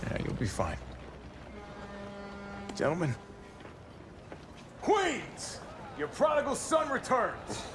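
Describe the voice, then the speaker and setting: An actor playing a brash, jovial young soldier with a theatrical, joking manner in a war game. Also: male voice, extremely high pitch, wildly swooping pitch, takes it slowly, pauses often, noisy background, full character voice. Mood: boisterous. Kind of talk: dramatic game acting. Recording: loud music over the voice.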